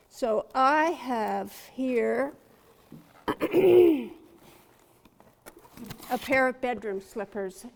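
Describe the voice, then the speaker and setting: An older woman artist from Toronto with a soft voice, telling stories slowly and with gentle humor to a live audience in a gallery. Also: on the high side, creaky. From Toronto, Canada. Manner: slow, storytelling, gently humorous. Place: gallery hall. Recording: long hall reverb.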